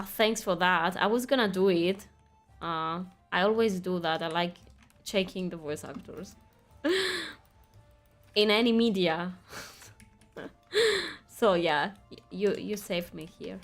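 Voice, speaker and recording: A woman's voice: medium pitch, unhurried, long pauses, faint music behind. Chatty woman streamer, easy laughs. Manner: chatty, laughs easily, reads quest text aloud. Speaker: game streamer. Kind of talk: casual gaming commentary.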